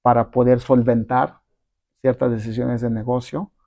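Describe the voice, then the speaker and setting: A man's voice: medium pitch, level tone, normal speed, vocal fry, warm tone, no room sound. A middle-aged man whose first language is not Spanish, telling his story in a casual interview, rambling with many fillers and tag questions. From abroad, not Mexico. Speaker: guest entrepreneur.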